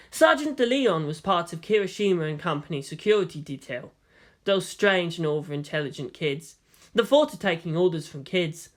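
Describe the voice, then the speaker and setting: A man's voice: high voice, a bit bright. A man narrating a story from a script as a fan fiction reader.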